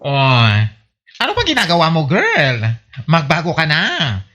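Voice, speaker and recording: Man as a lively, bright-voiced teasing husband. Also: fairly high pitch, unhurried, exaggerated voice. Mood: teasing, sceptical. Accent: Ilocano. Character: teasing, sceptical husband scolding his gossipy wife. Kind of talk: Ilocano radio comedy drama acting.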